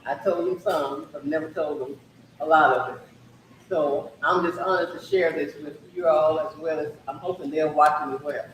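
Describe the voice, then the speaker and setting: A woman in her sixties from Mississippi, a retired teacher, telling her story in a measured, reflective way. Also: sits low, fairly even intonation, heavy background noise. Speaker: interview guest, retired teacher. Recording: echoey room, mic at a distance.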